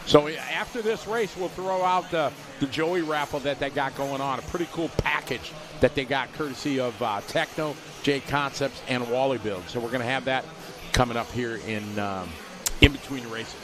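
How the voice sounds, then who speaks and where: A man calling a radio-controlled car race live, giving quick play-by-play and reading out viewer messages. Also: mid-range voice, noisy background. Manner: rapid play-by-play, reads viewer chat aloud. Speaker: race commentator.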